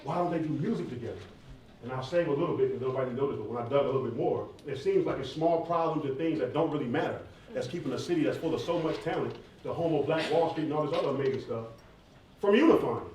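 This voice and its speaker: Male American, candid and informal Q&A speaker, rapid delivery, very reverberant room with distant mic. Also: mid-range voice, expressive, darkish tone. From southeastern United States, near Atlanta. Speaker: hip hop artist and former college athlete answering questions. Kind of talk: casual audience question-and-answer talk. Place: large echoey indoor room at a live event. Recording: echoey hall, distant mic, faint noise.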